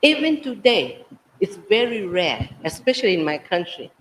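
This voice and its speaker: Soft-spoken woman in the audience, earnest and measured, recorded in a roomy hall. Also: mid-range voice, sing-song, slight background noise. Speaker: audience member asking a question. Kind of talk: audience comment at a conference. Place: conference hall.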